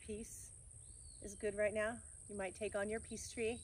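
A woman in her thirties guiding a yoga class outdoors on a farm field, giving calm, encouraging cues between breaths. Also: medium pitch, measured pace. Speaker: yoga teacher. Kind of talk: guided yoga class instruction. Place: outdoors on a grassy farm field under trees. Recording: faint outdoor noise, crickets. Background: faint crickets and country outdoor sounds.

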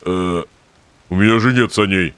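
A man voicing a slow-witted moose bouncer in an adult cartoon. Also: fairly bright tone, clear, resonant tone, no echo. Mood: dim. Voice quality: low.

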